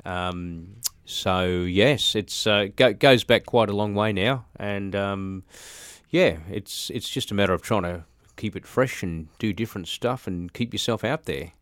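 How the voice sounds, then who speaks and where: Aussie guy in his 40s, real low voice, lots of ums and restarts, dry sound. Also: fairly bright tone, dry recording. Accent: Australian. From Australia. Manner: halting, many fillers and restarts. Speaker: interview guest, musician. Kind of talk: casual interview talk.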